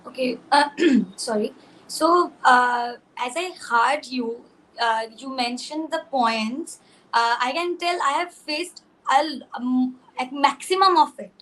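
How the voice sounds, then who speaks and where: A woman's voice: sits high, slow pace, fairly bright tone, poor audio. A young woman, speaking hesitantly with many "uh" fillers as a guest on a video-call panel. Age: young adult. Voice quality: husky. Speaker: content creator guest.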